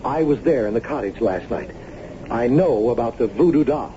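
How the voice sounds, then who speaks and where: A man's voice: mid-range voice, animated, normal speed, dark tone, close to an everyday voice. An actor playing a determined, suspicious man in an old radio drama, stern and insistent. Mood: stern, accusing. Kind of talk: 1970s radio drama acting. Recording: muffled old radio broadcast, faint noise.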